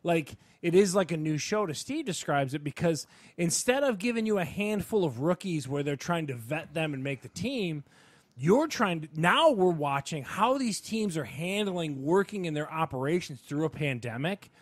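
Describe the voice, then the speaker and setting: A man's voice: on the high side, animated, moderate pace, no room sound. A man co-hosting a casual sports and entertainment podcast, talking in an enthusiastic, emphatic, rambling way. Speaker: podcast co-host.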